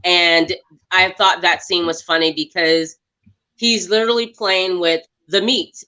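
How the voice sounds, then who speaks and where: Bright, animated woman retelling scenes. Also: on the low side, unhurried, low-quality recording. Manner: animated storytelling, laughing. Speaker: guest actress. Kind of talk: casual movie review chat.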